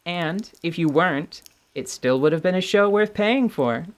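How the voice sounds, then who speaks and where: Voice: mid-range voice, expressive, normal speed, lightly acted. Someone acting a wry, blunt fantasy adventurer. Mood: teasing. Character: Retribution, blunt, idealistic, sardonic adventurer. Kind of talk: radio-theatre fantasy role-play acting.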